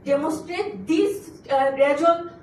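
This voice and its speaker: A woman from Bangladesh, a graduate student giving an earnest competition pitch, stumbling and restarting now and then. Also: takes it slowly, reverberant, distant mic, a little hiss or hum. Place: auditorium.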